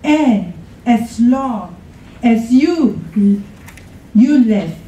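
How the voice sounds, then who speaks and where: Woman doing dramatic poem recital, soft dark tone, long pauses, echoey and far from the mic. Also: a little high, animated. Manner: theatrical, slow, with pauses. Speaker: poetry contest reader. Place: college room, at a podium. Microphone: podium microphone, filmed from the audience. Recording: echoey room, distant, faint noise.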